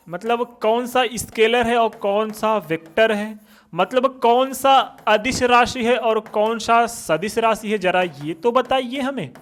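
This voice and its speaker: A man's voice: extremely high pitch, a bit bright. A male teacher giving a physics lesson for exam students, asking questions and answering them himself.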